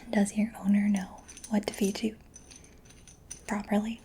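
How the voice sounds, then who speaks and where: A woman whispering in a breathy, gentle, unhurried murmur for an ASMR roleplay, close to the microphone. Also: mid-range voice, little pitch movement. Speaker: ASMR creator playing a robot mechanic. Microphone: close-up sensitive microphone. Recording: close-up whisper with clear background noise.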